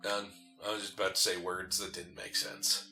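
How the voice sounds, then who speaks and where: A man chatting casually as co-host of a game playthrough, telling stories in an animated way and acting out bits. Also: low-pitched, fairly quick, low-quality recording. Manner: animated storytelling, acts out bits. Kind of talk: casual chat. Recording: game music behind the talk. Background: video game music.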